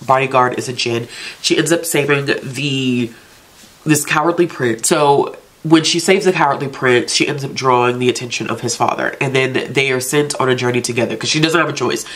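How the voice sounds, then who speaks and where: A woman's voice: very deep voice, moderate pace. A woman with a congested, stuffed-up voice, hosting a casual book vlog and chatting in a self-chiding way about books she means to read. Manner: chatty, self-chiding, talks herself into reading. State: congested from allergies. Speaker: book vlogger, channel host.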